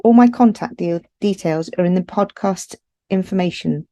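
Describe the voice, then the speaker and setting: A woman's voice: fairly low pitch, moderate pace, dark tone. A woman hosting a podcast, reading a short intro and then encouraging her guest. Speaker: podcast host. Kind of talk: scripted podcast intro then interview chat.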